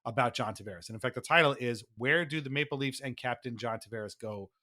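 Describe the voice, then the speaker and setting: A man's voice: mid-range voice, fairly quick, bright, forceful tone. A man co-hosting a casual sports podcast, talking in a wry, amused, conversational way. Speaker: podcast co-host.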